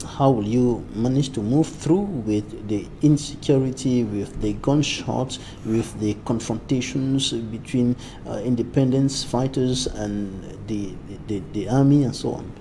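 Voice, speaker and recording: A man's voice: medium pitch, unhurried, hardly any pauses, warm tone, slight background noise, poor audio. A male news anchor interviewing a guest, asking long, carefully framed questions.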